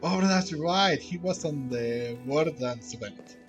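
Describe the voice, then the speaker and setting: Male streamer: casual gameplay reactions, drawn-out and very slow, lively inflection. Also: fairly high pitch, soft background music. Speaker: game streamer.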